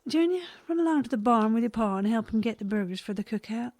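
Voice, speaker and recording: A woman playing a flirty frontier wife and mother in a comic western audio drama, given to breathy asides and sighs. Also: a little high, expressive, fast, a little mellow, no echo. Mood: matter-of-fact. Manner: breathy asides, sighing, gushing.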